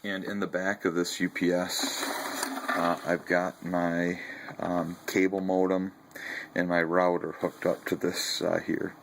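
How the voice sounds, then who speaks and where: A man walking through his home battery and radio setup in a casual vlog, talking haltingly with many ums and self-corrections. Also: low voice, little pitch movement, creaky, noisy background. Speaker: video blogger showing his ham radio setup. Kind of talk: casual walkthrough narration. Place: house, garage and basement.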